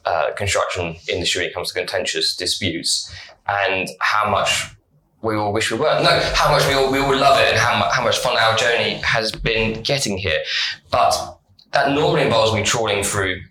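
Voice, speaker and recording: A man's voice: on the low side, normal speed, bright, forceful tone, reverberant, a little hiss or hum, rough recording. A man hosting a podcast, chatty and jokey, often breaking into laughter. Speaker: podcast host. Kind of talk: casual podcast chat.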